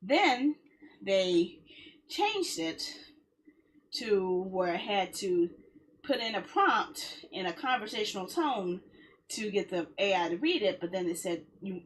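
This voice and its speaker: A woman's voice: mid-range voice, very animated, slow pace, poor audio. A woman game streamer talking casually to her viewers in a rambling, conversational way. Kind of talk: casual livestream chat.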